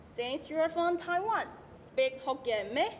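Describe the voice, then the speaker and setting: A woman's voice: sits high, animated, slow, a little hiss or hum. A young woman playing a pushy, chatty Singaporean auntie in a school stage skit, with a put-on Singlish accent and tag words. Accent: Singaporean English, put on. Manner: brash, Singlish tags, scolding then friendly. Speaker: student performer. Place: large auditorium stage. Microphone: stage floor mics from afar. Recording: hall reverb from far off.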